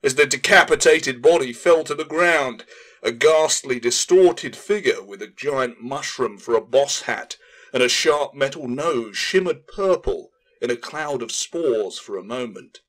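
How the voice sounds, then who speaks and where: A man narrates a fantasy audiobook in a medium-pitched voice. His delivery is scripted and dramatic, telling the tale as a storyteller. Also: animated, unhurried, a bit bright.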